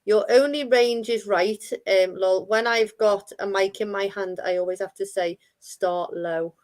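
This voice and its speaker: A woman with an ordinary mid-range voice hosts a relaxed radio chat. She talks in a chatty way and reads listener messages aloud. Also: medium pitch, unhurried. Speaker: radio host.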